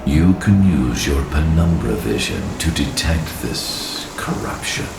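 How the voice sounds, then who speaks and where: An actor playing an ancient, solemn guardian spirit of the forest in a video game, gravelly and archaic in speech. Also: male voice, expressive, background noise, exaggerated voice. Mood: grave explaining. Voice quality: very deep, gravelly. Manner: slow, solemn, archaic pronouncements. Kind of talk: video game cutscene acting. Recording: reverberant, distant, under loud music.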